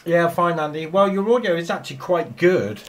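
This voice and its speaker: A man's voice: fairly high pitch, lively intonation, brisk. A man chatting casually and in a rambling way as a radio operator filming in his home shack. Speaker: amateur radio operator and video maker.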